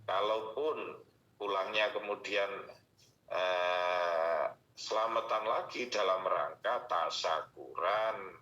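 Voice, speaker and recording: A man's voice: low voice, sharp tone. A man answers listeners' religious questions as an Islamic teacher, explaining by listing and repeating points. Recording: muffled radio-like audio, roomy echo.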